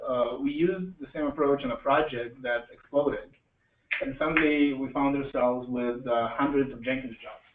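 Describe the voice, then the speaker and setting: A man hosts a live meetup in a loose, joking way, often pausing mid-sentence. His voice sits at a middle pitch, heard from a little way off in an echoey room. The sound is thin and narrow, with faint noise behind it. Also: recorded from a distance. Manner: joking, halting, asks the audience questions. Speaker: meetup host introducing speakers. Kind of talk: informal live presentation to an audience.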